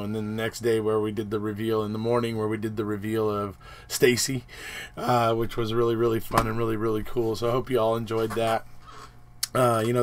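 A slightly hoarse man in his forties, a channel host, rambling casually through a trip recap with many fillers, filmed on a webcam in his home office. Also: on the low side, fairly bright tone, slight background noise. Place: home office room with posters.

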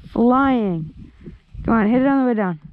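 Young guy fishing on the ice, high-pitched and hyped, slow drawl, windy outdoor cam audio. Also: narrow, tinny audio. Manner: excitable, slangy, talks to the fish. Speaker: fishing vlogger. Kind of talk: excited running commentary while fishing. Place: outdoors on a frozen lake. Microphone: head-mounted action camera. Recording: outdoor wind and ice noise.

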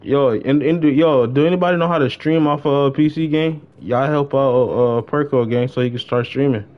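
A man's voice: mid-range voice, moderate pace, lo-fi sound. A young adult man chatting casually on a gaming livestream in slangy, informal speech with plenty of swearing. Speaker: game livestreamer.

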